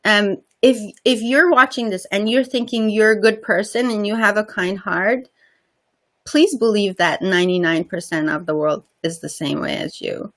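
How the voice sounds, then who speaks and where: A woman's voice: medium pitch, moderate pace, a bit bright. A woman with a slight Persian accent, a guest on a casual interview, talking earnestly and often repeating words while she searches for them.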